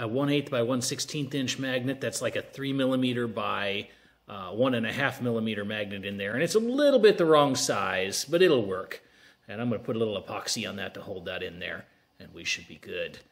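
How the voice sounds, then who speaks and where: A man at a home table filming a casual how-to on his phone, talking in a folksy, rambling way with jokey asides. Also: mid-range voice. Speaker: hobbyist showing a laptop repair. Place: home dining room at a table.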